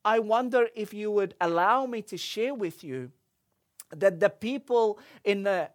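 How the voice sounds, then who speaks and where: A man's voice: high-pitched, unhurried. Man preaching with stories. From Egypt. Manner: storytelling, animated quoting, frequent restarts. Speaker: pastor. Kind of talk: sermon with personal story.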